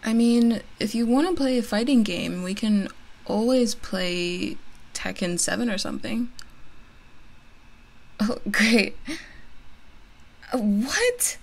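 Playful gamer girl best friend, creaky voice, acted by a woman. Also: fairly high pitch, expressive, takes it slowly, pauses often, exaggerated voice. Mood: bantering. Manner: teasing, giggly, chatty. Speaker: ASMR roleplay performer. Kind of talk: ASMR roleplay, casual one-sided conversation.

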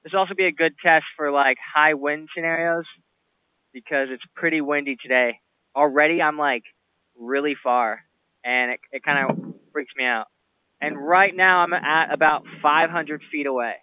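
A man's voice: fairly high pitch, steady tone, moderate pace, smooth, no room sound, phone-quality sound. A man in his twenties giving a casual gear review as a video host. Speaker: video reviewer, event shooter. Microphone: headset intercom mic.

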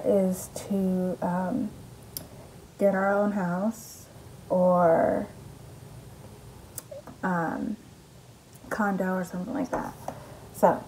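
A woman's voice: mid-range voice, flat delivery, extremely slow, long pauses, warm tone, slight background noise, poor audio. A young woman vlogging from her bedroom, chatting casually and upbeat with lots of ums while speaking close to a camera. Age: young adult. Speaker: mom vlogger. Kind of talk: casual vlog chat. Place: bedroom at home, indoors. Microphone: camera in front at close range.

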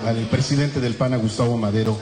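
Mexican radio reporter, stumbling and restarting, echoey press room. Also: male voice, mid-range voice, fairly even intonation, over music. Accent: Mexican Spanish. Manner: halting, with many restarts and fillers. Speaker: radio reporter asking a question. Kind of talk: press conference question. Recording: echoey hall with background noise.

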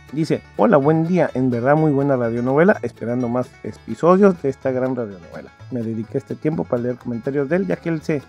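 A man's voice: mid-range voice, a little mellow, breathy edge. A man hosting a podcast, chatting casually as he reads out listener comments. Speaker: podcast host. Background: faint guitar music.

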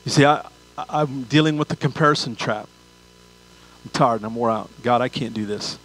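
An older pastor delivers a sermon in a mid-pitched voice. He speaks conversationally to his listeners. He repeats key phrases and poses rhetorical questions to drive each point home. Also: normal speed, fairly bright tone, soft background music, poor audio. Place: large church auditorium stage.

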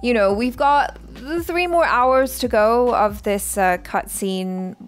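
Expressive woman chatting in casual reaction. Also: a little high, slow pace. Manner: expressive, excitable. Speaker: reaction show host.

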